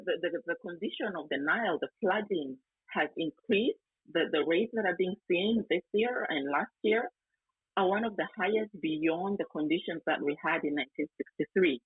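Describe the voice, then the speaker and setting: A man's voice: high voice, breathy edge, no room sound. A man from South Sudan speaking earnestly in an online panel discussion, often repeating words as he searches for them. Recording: tinny phone-like call audio.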